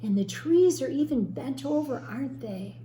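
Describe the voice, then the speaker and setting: A woman teacher reading a storybook aloud expressively to young children, pausing to explain and ask questions. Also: high-pitched, animated, mellow. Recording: roomy echo, faint background noise.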